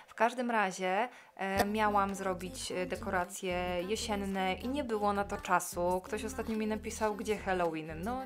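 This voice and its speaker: Chatty woman over soft music. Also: mid-range voice. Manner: chatty, relaxed, many fillers. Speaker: cleaning vlogger. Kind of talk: casual voice-over chat.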